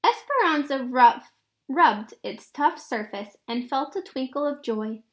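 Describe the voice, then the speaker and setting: A woman narrates a children's storybook aloud. Her voice sits high and moves through a lively, wide range of pitch, in an expressive read-aloud style. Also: measured pace.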